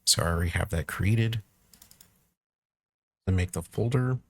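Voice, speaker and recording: Deep voiced guy doing a laid back screen tutorial, kinda husky, thinking out loud, close dry mic. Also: unhurried, no room sound. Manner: informal, thinking aloud while working. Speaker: tutorial presenter. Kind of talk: casual screen-recorded software walkthrough.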